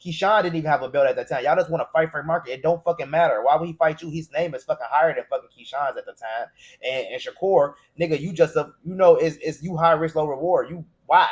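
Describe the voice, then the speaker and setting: Casting: American male, slightly high-pitched voice, argumentative sports pundit, slangy and heavily profane, unscripted rant style. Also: fast talker, slightly rough, muffled recording. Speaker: boxing commentator. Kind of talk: opinionated casual rant.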